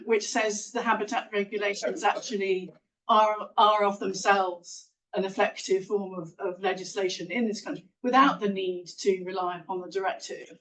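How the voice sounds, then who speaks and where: A woman in the audience asking a long, halting question at a lecture and apologizing as she goes. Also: mid-range voice, fairly even intonation, a bit bright. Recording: distant mic.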